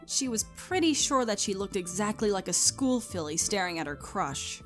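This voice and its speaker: A woman's voice: mid-range voice, expressive, normal speed, soft background music. A woman reading scripted story narration expressively, as the narrator of a comic tale.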